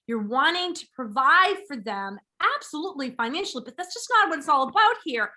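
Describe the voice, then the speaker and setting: A woman's voice: high voice, big pitch swings, a little fast, bright, forceful tone. A woman in her forties hosting a podcast interview, gushing and enthusiastic, repeating words for emphasis. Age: 40s. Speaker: podcast host. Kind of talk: upbeat interview chat.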